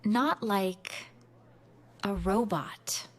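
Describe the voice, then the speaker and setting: A woman teaching a beginner English class, speaking clearly, patiently and encouragingly in a scripted lesson. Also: medium pitch, very slow.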